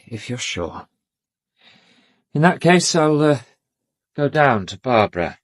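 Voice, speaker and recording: An actor playing a polite, defensive gentleman in a radio drama, guarded and formal in manner. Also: medium pitch, very animated, slow pace, dry recording, clean studio sound. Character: smooth-mannered, defensive upper-class male family associate.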